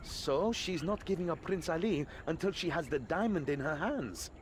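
An actor playing a cocky, sarcastic young adventurer in a video game, flippant and expressive. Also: male voice, on the high side, moderate pace, slight background noise, close to an everyday voice. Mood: resolved.

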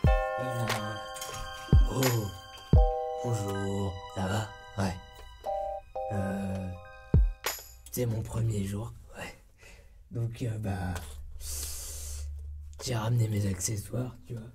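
A young man playing a cheeky, laid-back ex-con turned rookie barber in a comedy ASMR roleplay, soft-spoken and breathy, with slangy, hesitant talk. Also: fairly low pitch, steady tone, extremely slow, long pauses, loud music behind the voice. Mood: awkward, casual. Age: young adult. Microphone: close binaural microphone.

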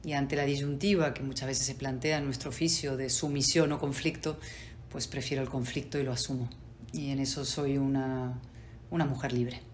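A woman's voice: very deep voice, fairly even intonation, a little hiss or hum. A woman in her forties, from Spain and raised in Buenos Aires and London, answering interview questions over a video call in an articulate, assertive way. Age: 40s. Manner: articulate, assertive, measured with pauses. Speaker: politician guest. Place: home study with bookshelves.

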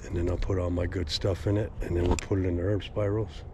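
A man's voice: low-pitched, little pitch movement, fast, background noise. A middle-aged man in a casual walk-and-talk vlog, filmed outdoors in a suburban backyard on an action camera held on a selfie stick, talking in a rambling, upbeat way. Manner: rambling, upbeat, self-correcting. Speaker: landscaper vlogging a garden build.

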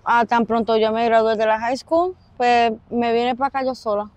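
A young woman with a Puerto Rican accent giving personal testimony in an interview, halting and pausing mid-sentence. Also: fairly high pitch, fairly even intonation, a little mellow, no echo, muffled recording. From Puerto Rico. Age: young adult. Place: outdoors in an open park.

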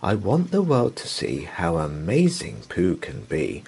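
A man's voice: sits low, huge pitch swings, unhurried, creaky, a little mellow, husky, voice pushed down, full character voice. A man voicing a flamboyant, eager showman and collector in a children's storybook, with boastful, theatrical patter. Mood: proud, excited.

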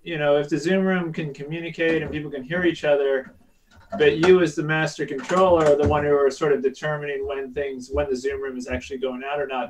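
A man chatting casually as a guest on a video call. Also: a little high. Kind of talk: casual chat. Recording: distant mic over video call.

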